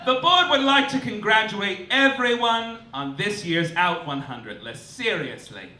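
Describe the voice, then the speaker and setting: A man's voice: high voice, expressive, unhurried, pushed and bright. A man doing a satirical stand-up monologue as host, in a campy, theatrical manner with mock-formal announcements. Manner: campy, theatrical, swooping emphasis. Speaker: stand-up comedian hosting a live show. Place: indoor cabaret club stage. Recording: roomy live stage, distant, clearly noisy.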